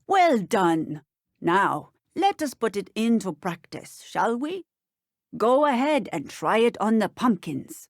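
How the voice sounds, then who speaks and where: Strict lady magic teacher for a video game, precise and proper, takes her time, lively intonation. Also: lowish voice, no echo, clean studio sound, exaggerated voice. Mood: measured, instructive. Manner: formal, precise, schoolteacherly. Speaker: voice actor. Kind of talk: scripted video game dialogue.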